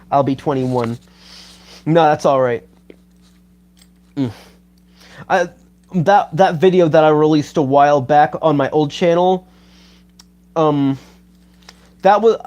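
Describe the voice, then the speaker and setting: A man of about twenty on a livestream, rambling and halting with lots of fillers and swearing as he reads his chat. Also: fairly high pitch, darkish tone. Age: 20s. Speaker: YouTuber reading live chat. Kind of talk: rambling casual livestream talk.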